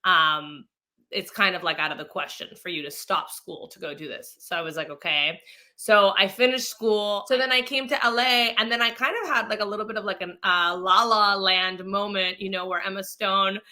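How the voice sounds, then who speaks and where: A woman's voice: medium pitch, normal speed, sharp tone. A woman from Mexico being interviewed as an actress and producer, telling her story in a casual, chatty, informal way. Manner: chatty, self-quoting, informal.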